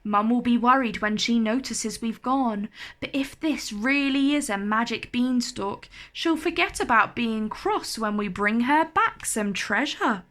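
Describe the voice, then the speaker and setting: An eager young boy hero from a storybook, played by a woman, earnest and excitable. The voice is fairly high and bright, with careful, unhurried pacing.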